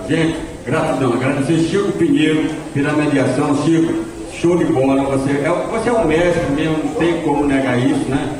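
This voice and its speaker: Association president rambling out thank-yous, slightly high voice, echoey room far from the mic. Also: male voice, moderate pace. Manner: warm, rambling, grateful. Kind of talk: event thank-you speech. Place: cultural center hall. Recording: echoey hall, distant mic, crowd.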